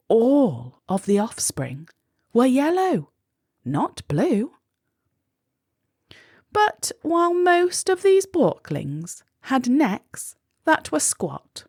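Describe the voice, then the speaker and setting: Very slow, expressive woman reading a storybook. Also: mid-range voice, no room sound, studio quality. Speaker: children's storybook reader. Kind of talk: expressive read-aloud of a rhyming children's story.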